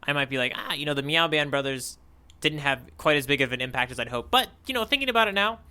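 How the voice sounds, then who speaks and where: A man co-hosting a podcast in casual chat, hesitant and halting, laughing often. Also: on the high side, animated, pushed and bright, clear, resonant tone. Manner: hesitant, full of restarts and fillers, laughs often. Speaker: podcast co-host.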